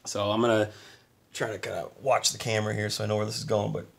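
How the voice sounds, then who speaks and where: Hoarse, gravelly-voiced man chatting keenly. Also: on the low side, level tone, fast. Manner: enthusiastic, talking a little quiet. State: hoarse from singing and little sleep. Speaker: channel host. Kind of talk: casual collector vlog.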